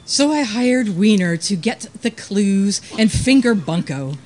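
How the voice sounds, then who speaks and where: A woman's voice: mid-range voice, expressive, a little slow, darkish tone. A woman playing a scheming, exasperated widow and murderess in a comic old-time radio play.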